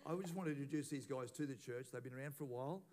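A man, a pastor, leading a church service in a relaxed, informal way and asking quick questions. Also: medium pitch. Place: church hall.

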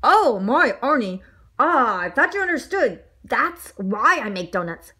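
A woman's voice: on the high side, big pitch swings, a little slow, bright, forceful tone, slightly rough, heavily acted. A woman voicing a cheerful, matter-of-fact male baker in a children's storybook. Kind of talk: children's storybook read-aloud.

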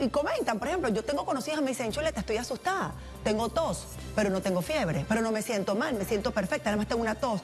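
A middle-aged woman hosts a morning TV show in a slightly high voice. She asks questions in a chatty way, with lots of restarts. Light music plays under her. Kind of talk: casual TV talk. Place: TV studio.

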